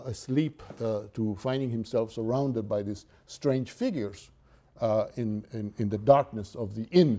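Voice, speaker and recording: Man, Cuban accent, lecturer, mellow voice, hesitant, lots of uhs. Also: mid-range voice. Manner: halting, with many filler sounds. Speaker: literature lecturer. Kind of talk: university lecture.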